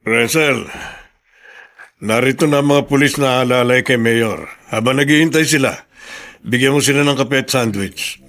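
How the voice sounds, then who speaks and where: A man's voice: medium pitch, moderate pace, pauses often, a bit bright, lightly acted. A man playing a courteous driver and bodyguard to a mayor in a Filipino radio drama. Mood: courteous, apologetic.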